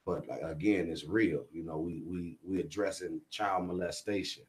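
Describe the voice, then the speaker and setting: A man's voice: low-pitched, steady tone, moderate pace, husky. An American man speaking as an interview guest in casual, streetwise, emphatic talk, often checking that he is understood. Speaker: guest, stage playwright.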